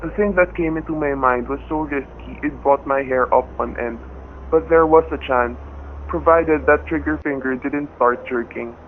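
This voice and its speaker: A man playing a quick-thinking hostage husband in a radio thriller, talking and narrating in a tense, hard-boiled way. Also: medium pitch, close to an everyday voice. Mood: grim, tense. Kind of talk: radio thriller acting and first-person narration. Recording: dull, noisy radio-play recording.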